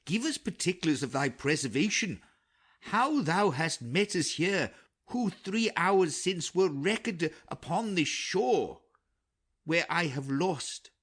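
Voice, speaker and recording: An earnest old king in mourning as acted by a man, speaking in a raised, highly animated voice. He declaims slowly and ceremoniously, in the manner of a Shakespeare audiobook. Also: heavily acted. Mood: grieving. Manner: theatrical, declamatory. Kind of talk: dramatic Shakespeare audiobook reading.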